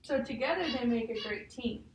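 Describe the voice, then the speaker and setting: Lady teacher going over a picture book w a kid, highish voice, echoey and a bit far from the mic. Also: lively intonation, fairly quick. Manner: guiding, often ends statements with a checking "huh?". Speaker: teacher leading a read-aloud. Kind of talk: discussing a picture book with a child. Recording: roomy, distant mic, faint noise.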